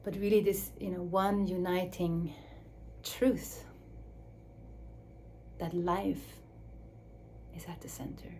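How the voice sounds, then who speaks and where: A woman speaking English as a second language in a slow, searching reflective talk, with restarts and soft drops to a near whisper. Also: on the low side, flat delivery, slight background noise. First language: Swiss German or Dutch. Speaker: speaker on her own channel.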